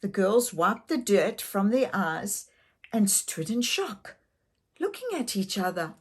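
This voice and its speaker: An older woman narrating a children's story expressively, gently and deliberately, with questions to young listeners. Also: mid-range voice, very animated, slightly rough. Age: older, grandmother.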